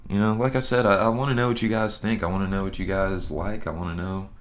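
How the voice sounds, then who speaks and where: A man's voice: fairly low pitch, level tone, quick pace. A young man hosting a podcast, talking in a loose, rambling way and swearing casually. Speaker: podcast host. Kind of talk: casual solo podcast chat.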